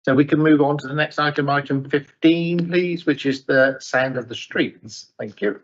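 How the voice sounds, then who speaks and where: Casting note: husky, gravelly committee chair, polite and hesitant, on a dull recording. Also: male voice, a little high, fairly even intonation, normal speed. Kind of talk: chairing a council meeting.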